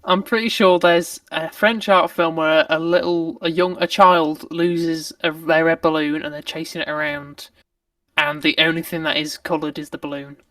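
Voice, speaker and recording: A man's voice: on the high side, moderate pace, vocal fry, sharp tone, no room sound, distant mic. A young man co-hosting a podcast, chatting casually and laughing often. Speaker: podcast co-host. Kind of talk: casual chat.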